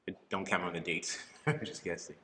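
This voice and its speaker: A young man, a podcast guest telling his faith story in a thoughtful, unhurried way with frequent ums and easy laughs. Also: sits low, steady tone, sharp tone, long reverb tail, slight background noise. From Liberia. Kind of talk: faith testimony in casual interview.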